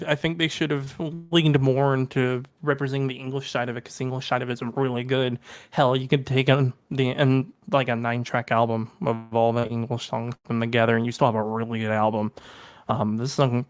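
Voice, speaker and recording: A man's voice: medium pitch, level tone, brisk, dry recording, clean studio sound. A young man co-hosting a podcast, chatting casually and enthusiastically about music, with lots of ums and restarts. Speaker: podcast co-host. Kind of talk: casual music review chat.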